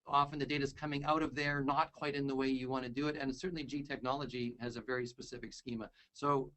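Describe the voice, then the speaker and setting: A man hosting a software webinar, upbeat and joking, enthusiastic as he talks with a colleague. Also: mid-range voice, fairly even intonation, quick pace. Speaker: webinar host. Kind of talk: casual webinar chat.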